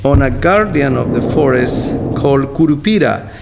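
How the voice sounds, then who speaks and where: Gravelly man in an echoey hall. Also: medium pitch, lively intonation. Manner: halting, repeats words, searching. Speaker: interviewed guest, poet. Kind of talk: interview talk and poem reading. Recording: echoey hall, faint background noise.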